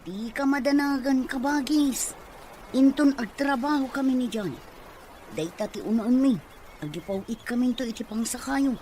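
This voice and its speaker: A woman's voice: high voice, moderate pace, mellow, a little hiss or hum, natural voice. A woman acting a hopeful, reassuring young woman in an Ilocano drama, with an Ilocano accent. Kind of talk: amateur Ilocano radio-style drama acting.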